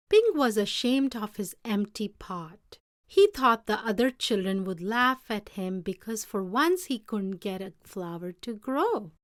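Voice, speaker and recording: A woman reading a picture book aloud in an expressive, deliberate storytelling manner. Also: medium pitch, no room sound, studio quality.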